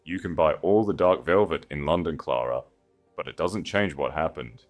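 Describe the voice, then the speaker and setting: A vain society woman acted out by a male narrator delivers her lines with spite and a sneer. The register stays low over faint music, in the style of a melodramatic storybook reading. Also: normal speed. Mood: venomous sneer. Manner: sneering, falsely sweet or venomous.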